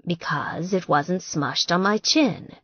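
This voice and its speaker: A woman's voice: on the low side, takes it slowly, fairly bright tone, slightly rough, no room sound, highs cut off, exaggerated voice. A woman voicing a contrite, determined man, the teasing hero of an audiobook romance, earnest and coaxing. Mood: relieved, playful.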